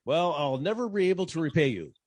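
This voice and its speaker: A wisecracking private detective acted by a man in the manner of 1940s radio drama. He tells his case wryly, with very animated intonation. The sound is dry and close. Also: fairly high pitch, a little fast, no echo. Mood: sardonic. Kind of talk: old-time radio detective narration and dialogue.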